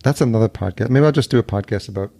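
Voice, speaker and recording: A man's voice: lowish voice, rapid-fire, dark tone. Laid-back man hosting a podcast. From El Salvador. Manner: laughs often, loose and rambling. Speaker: podcast host. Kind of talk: casual interview chat. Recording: remote call audio.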